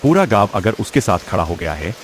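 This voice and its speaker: A man's voice: lowish voice, big pitch swings, fairly quick, a little hiss or hum. A man playing a menacing, greedy village moneylender with a domineering, threatening manner in a cartoon moral story. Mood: angry scheming. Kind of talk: Hindi animated moral story dialogue.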